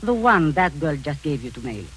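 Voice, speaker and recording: A woman's voice: low-pitched, very animated, normal speed, breathy edge, full character voice, rough recording. An actress playing a scheming older female spy who poses as a kindly aunt, speaking in a sugary, condescending way that turns cold and commanding, in 1940s radio acting style. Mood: coldly scheming. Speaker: villainous spy posing as an aunt.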